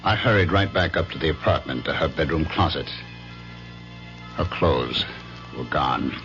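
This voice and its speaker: A brooding, jealous husband in an old radio drama. His voice is low and swings expressively as he tells his story with dramatic pauses. The broadcast is muffled, with music. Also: animated, exaggerated voice. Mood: brooding, tense. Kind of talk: 1970s radio drama acting, first-person narration.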